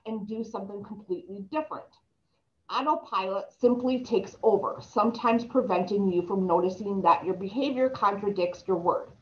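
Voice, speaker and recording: Young woman presenter on a video call, slight lisp, reads slides aloud with stumbles, somewhat dull laptop-mic sound. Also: medium pitch. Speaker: presenter reading an article aloud.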